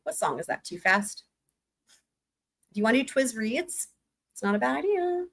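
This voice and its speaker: A woman hosting a casual livestream, excited and rambling, her voice going hoarse. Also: a little high, normal speed. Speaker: livestream host.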